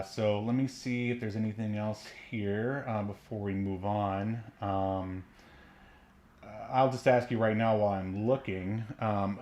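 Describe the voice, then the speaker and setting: A man co-hosting a podcast, rambling along with lots of ums and likes and laughing often. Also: on the low side. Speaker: podcast co-host. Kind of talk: casual chat.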